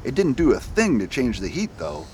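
A man's voice: mid-range voice, wildly swooping pitch, brisk, a little mellow, slight background noise. A man playing a determined US marshal in a radio drama, speaking earnestly and insistently. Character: determined male US marshal, stubborn and dutiful. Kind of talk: amateur radio drama acting.

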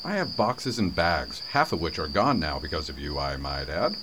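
A man's voice: rumbling low, sing-song, moderate pace. A man playing a wry, loyal, kindhearted sheriff in a radio drama, with a dry, teasing manner. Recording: noisy, with cricket-like background.

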